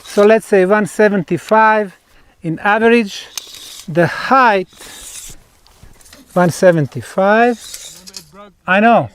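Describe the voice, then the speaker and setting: A man with a Bosnian accent explains a site on location as a researcher, in deliberate, emphatic short phrases with pauses. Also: high voice, clear, resonant tone. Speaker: researcher presenting a field investigation.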